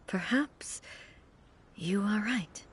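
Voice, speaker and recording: An actress playing a regal, quietly kind ruler in a video game, measured and calm. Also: female voice, medium pitch, slight background noise. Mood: gentle. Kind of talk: scripted video game voice acting.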